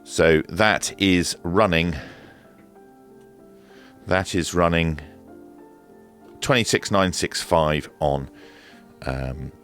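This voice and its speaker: A man with an extremely low, deep voice presents a hands-on gear demonstration. He explains things in a measured, matter-of-fact way, with faint music in the mix. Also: fairly bright tone. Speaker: presenter of a radio equipment review. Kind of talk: hands-on demonstration and review commentary.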